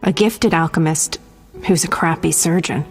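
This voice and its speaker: A brisk medic in a reverberant hall. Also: female voice, sits low, soft background music. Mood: analytical. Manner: clinical, matter-of-fact. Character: brisk, confident young female medic, proud professional. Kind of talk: video game voice acting.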